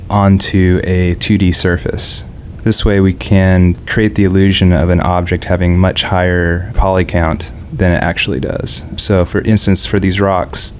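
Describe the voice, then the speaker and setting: Deep, fairly even-toned man over rumbling noise. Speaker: game developer. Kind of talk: technical commentary.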